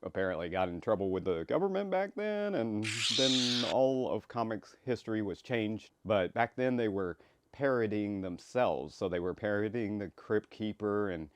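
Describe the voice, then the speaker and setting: A man introducing his own work in casual, rambling commentary, with a halting delivery. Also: fairly low pitch, animated, slight background noise. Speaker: creator introducing his comic.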